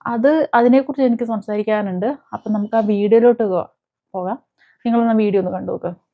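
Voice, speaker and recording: A woman chats to her viewers in a casual commentary style. Her voice sits at an ordinary female pitch, and she speaks haltingly, pausing to find words. The recording is a little dull and lo-fi. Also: fairly even intonation. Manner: halting, searching for words, with frequent hesitant pauses. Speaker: YouTube commentator. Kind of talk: casual reaction vlog talk to viewers. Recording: dull, lo-fi sound.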